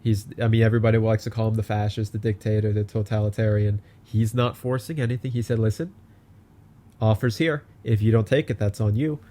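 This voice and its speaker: Man, low mellow voice, podcast host, casual political chat, sarcastic. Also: steady tone. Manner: sarcastic asides and quick agreement. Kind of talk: political talk show chat.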